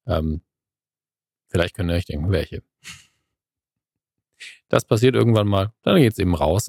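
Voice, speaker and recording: A man's voice: sits low, a little mellow, breathy edge, no room sound. Dry-humored podcast man. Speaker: podcast co-host. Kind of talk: casual podcast chat and recorded spoken insert.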